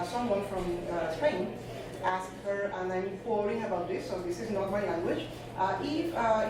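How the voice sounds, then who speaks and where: A woman giving a conference talk in a loose, conversational way with many fillers. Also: medium pitch. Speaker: conference speaker. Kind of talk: presentation talk. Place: conference hall. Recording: echoey room from far off with noise.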